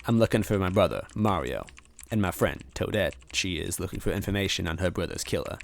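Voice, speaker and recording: A man's voice: sits low, lively intonation, brisk, creaky. A man voicing a kind, anxious story hero looking for his brother, hesitant and earnest. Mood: gentle.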